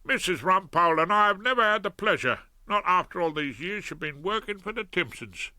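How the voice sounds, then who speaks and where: A man's voice: sits high, expressive, a little fast, pushed and bright, no room sound, clean studio sound, pitched above the performer's own voice, full character voice. A man voicing a cheerful older petty criminal with a South London working-class accent, chatty and ungrammatical. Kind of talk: audiobook character voice.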